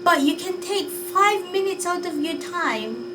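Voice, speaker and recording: A woman's voice: extremely high pitch, animated, a little slow, far from the mic, background noise. A Jamaican woman vlogging in a chatty, unsure way, sighing as she thinks things over. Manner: chatty, musing and unsure, with sighs. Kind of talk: casual talk to camera.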